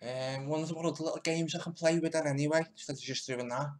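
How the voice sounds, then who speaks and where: A man's voice: on the high side, steady tone, quick pace. A male stream host giving live, mocking commentary, laughing, swearing and muttering asides as he goes. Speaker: channel host.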